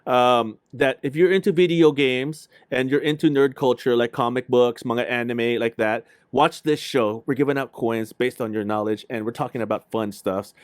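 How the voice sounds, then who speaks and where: A man's voice: medium pitch, fairly even intonation, normal speed, few pauses, no room sound, studio quality. A man hosting a casual livestream chat, speaking in an informal, slangy way and often repeating his phrases. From Philippines. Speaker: podcast host.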